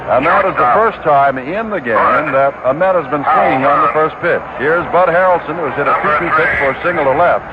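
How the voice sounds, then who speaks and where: A man calling a baseball game live on radio, brisk and matter-of-fact. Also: fairly high pitch. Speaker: play-by-play announcer. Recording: tinny, hissy 1969 radio broadcast.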